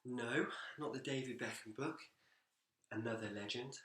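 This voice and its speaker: A man reading a children's picture book aloud in an expressive, animated storytelling manner. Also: medium pitch, moderate pace. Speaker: school story-time reader, teacher. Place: indoors, seated in a reading chair. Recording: echoey room.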